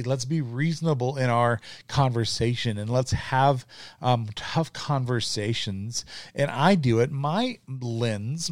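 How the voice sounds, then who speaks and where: A middle-aged man hosts his podcast in an earnest, conversational monologue, often pausing on ums and restarting his sentences. His voice sits at an ordinary middle pitch. The recording is dry and close, with no room sound. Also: a little mellow, no room sound. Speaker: podcast host. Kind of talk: casual monologue.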